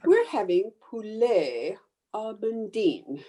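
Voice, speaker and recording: A woman's voice: mid-range voice, big pitch swings, takes it slowly, mellow. A woman playing a scatterbrained, stubborn, cheerful housewife in an old-time radio comedy, with theatrical, emphatic delivery. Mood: blithely confident.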